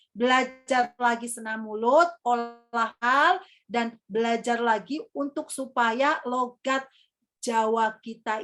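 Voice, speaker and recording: Young woman, high-pitched, easygoing trainer with a rambling, filler-heavy delivery. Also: a bit bright. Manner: chatty, calls herself Kakak, many fillers. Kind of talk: casual workshop teaching.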